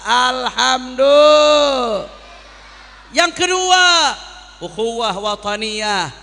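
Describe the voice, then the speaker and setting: A man's voice: extremely high pitch, expressive, extremely slow, pushed and bright, long reverb tail. A man preaching a sermon to a congregation as an impassioned orator, using repetition and rhetorical questions. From Jakarta, Indonesia. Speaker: Islamic preacher.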